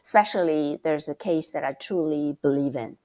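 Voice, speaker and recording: A middle-aged woman guest with a loud voice, speaking passionately and emphatically, often restarting her sentences. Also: low-pitched, normal speed. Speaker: guest mentor. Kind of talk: conversational interview.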